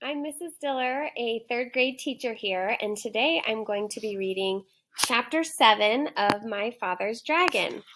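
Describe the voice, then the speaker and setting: A woman teacher reading a children's story aloud with expressive, clearly phrased narration. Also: on the high side, a little slow, slight background noise, low-quality recording. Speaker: third grade teacher.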